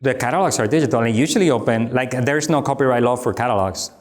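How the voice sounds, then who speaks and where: A man from the Republic, answering audience questions after a talk in an animated, emphatic way with wry asides. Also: mid-range voice, quick pace. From Dominican Republic. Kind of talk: lecture Q&A, conversational.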